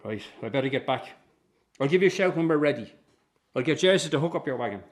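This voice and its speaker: An actor playing a weary, patient but strained Irish circus manager in a radio drama. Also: male voice, medium pitch, expressive, fast talker, natural voice. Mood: weary, conciliatory. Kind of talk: radio drama acting with narration.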